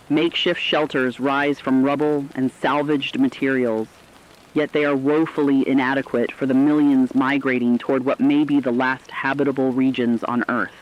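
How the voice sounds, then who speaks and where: A medium-pitched male correspondent played by an actor reports gravely for a radio drama. The delivery is formal and measured, and the sound is a little dull. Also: lightly acted. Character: sombre radio correspondent reporting mass human suffering. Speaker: news correspondent. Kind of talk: scripted radio disaster report.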